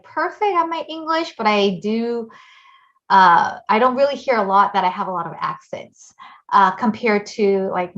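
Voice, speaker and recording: A woman speaks carefully at a middle pitch, choosing her words. The recording sounds a little dull. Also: a bit bright. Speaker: interviewee. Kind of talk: documentary interview, casual speech.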